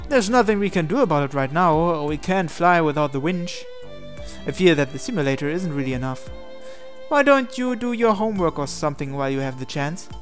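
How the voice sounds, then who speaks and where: A man's voice: a little high, animated, fairly quick. A man reading a visual novel aloud in a narrated let's play, adding asides and occasionally stumbling over the text. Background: game music.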